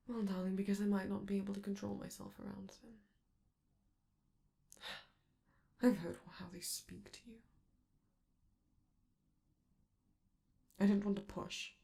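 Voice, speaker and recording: Casting: woman voicing affectionate, protective showbiz robot; lowish, heavy vocal fry, hushed intimate roleplay delivery with a theatrical streak. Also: exaggerated voice. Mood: concerned, gentle. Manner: soft, theatrical, affectionate, hesitant, breaking into whispers. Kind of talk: intimate ASMR comfort roleplay.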